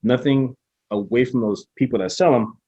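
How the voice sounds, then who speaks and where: A man chatting as a guest in a casual interview, speaking in a laid-back, halting way with lots of restarts. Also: mid-range voice, dark tone, husky, no room sound, dull audio. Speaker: interview guest, small business owner.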